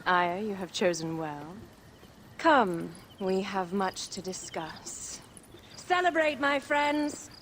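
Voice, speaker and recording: A woman's voice: medium pitch, expressive, slow, fairly bright tone. List: sly queen, languid, commanding, teasing, noisy background. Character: regal, sly exiled queen. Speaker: exiled queen. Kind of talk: video game cutscene acting. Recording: game audio with clear background noise.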